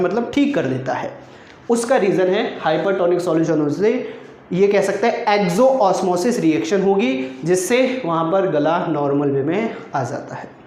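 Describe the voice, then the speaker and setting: A man's voice: high-pitched, reverberant. A man teaching an online chemistry class, explaining step by step and asking questions he then answers himself. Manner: explains step by step, mixing Hindi and English, asks and answers his own questions.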